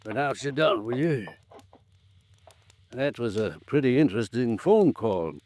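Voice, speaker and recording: An American actor playing a courteous small-town attorney in a 1950s radio drama, asking measured, polite questions. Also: male voice, medium pitch, lively intonation, a little mellow, no room sound. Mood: probing, courteous.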